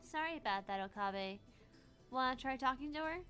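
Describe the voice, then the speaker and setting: A feisty young woman scientist from a visual novel, acted out by a woman reader. Her high voice is bright and leaps around in pitch as she speaks emphatically, with music playing underneath. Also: animated, normal speed. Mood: bright, prodding. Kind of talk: visual novel character voice reading.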